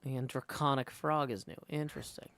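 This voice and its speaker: A man's voice: medium pitch, steady tone, normal speed, creaky, a bit bright. A man streaming a video game, chatting casually and thinking aloud as he reads text off the screen. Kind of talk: casual game livestream commentary.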